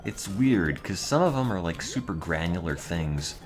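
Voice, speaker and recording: A man's voice: deep voice, lively intonation, normal speed. A young adult man streaming and answering his chat in a dry, rambling, offhand way. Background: music playing.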